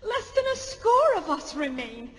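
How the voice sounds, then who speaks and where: High-voiced defiant young noblewoman. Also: very animated, a little slow, heavily acted. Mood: impassioned anger. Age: young adult. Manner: formal, impassioned. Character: grieving, defiant young noblewoman, urgent and earnest. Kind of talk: audio drama acting. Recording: long hall reverb.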